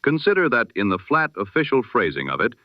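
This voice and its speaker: A man presenting a scripted news bulletin in 1920s radio style, with a formal, measured, solemn delivery. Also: mid-range voice, big pitch swings, dry recording, highs cut off.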